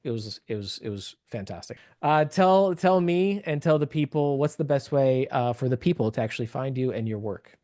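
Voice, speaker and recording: A man's voice: medium pitch, animated, normal speed, hardly any pauses, warm tone, no echo, clean studio sound. A man hosting a podcast interview, upbeat and effusive, thanking and praising his guest freely. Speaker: podcast host. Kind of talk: casual interview chat. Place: local area of Seattle.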